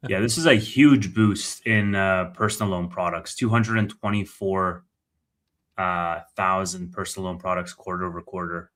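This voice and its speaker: A man's voice: low-pitched, fairly even intonation, moderate pace, a bit bright. A man hosting a casual live commentary, conversational and thinking aloud as he reads out figures. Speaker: live stream host.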